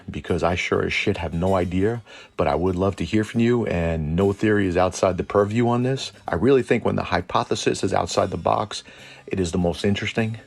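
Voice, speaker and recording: A man hosting a lore deep dive in a colloquial, irreverent way, part scripted commentary and part casual aside. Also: vocal fry. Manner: colloquial, irreverent, mildly profane. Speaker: host of a cryptid and tabletop lore channel.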